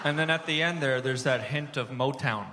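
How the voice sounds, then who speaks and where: A man's voice: mid-range voice, fairly quick. A man performing a live comedy bit on stage as a mock-serious, pompous connoisseur. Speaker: comic resident cheese expert. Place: stage before a live audience. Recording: reverberant hall, loud background noise.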